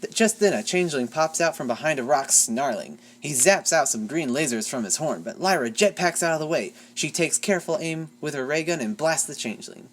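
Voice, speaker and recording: A playful storyteller reading a comic fanfic aloud, dropping deadpan asides. The voice moves through a lively pitch range while keeping a middling pitch. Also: brisk, few pauses. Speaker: fanfic reader.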